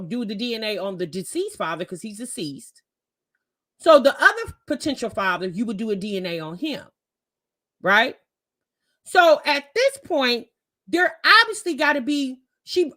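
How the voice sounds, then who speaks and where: A woman's voice: sits high, a bit bright. A woman giving casual, opinionated commentary as a reaction host, talking emphatically and colloquially with frequent rhetorical questions.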